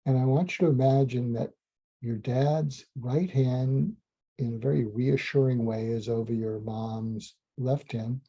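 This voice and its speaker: A man, a psychiatrist, calmly recounting a case in a slow, soothing, almost hypnotic way, with frequent ums and restarts. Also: medium pitch, breathy edge. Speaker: psychiatrist telling a clinical story. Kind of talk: calm storytelling monologue. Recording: dull lo-fi sound.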